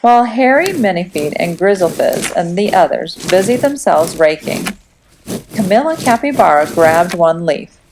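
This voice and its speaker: A woman reading a storybook aloud as a teacher would, in expressive, dramatic children's narration. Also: on the low side, normal speed, noisy background.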